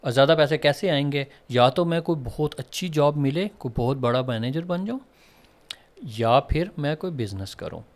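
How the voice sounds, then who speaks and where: Animated man mixing in English. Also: fairly high pitch, moderate pace. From Pakistan. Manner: animated and explanatory, mixing English phrases into Urdu. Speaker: guest entrepreneur. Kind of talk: podcast interview.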